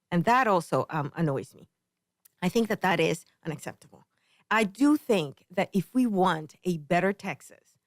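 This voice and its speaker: A woman's voice: on the low side, dry recording, clean studio sound. A middle-aged woman from the Dominican Republic, a candidate explaining her views in an interview, earnest and careful, with many ums. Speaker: political candidate, guest. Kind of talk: interview answers.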